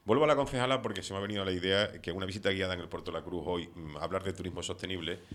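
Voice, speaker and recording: Middle-aged guy hosting an interview, really low voice, talks fast. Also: steady tone, a bit bright, a little hiss or hum. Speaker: host interviewer. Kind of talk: conversational interview with long opinionated questions.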